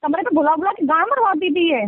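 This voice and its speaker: A woman's voice: very high-pitched, lively intonation, fast talker, a crowd in the background. A woman in a heated phone argument, foul-mouthed, threatening and scolding, heard over a narrow-band call recording.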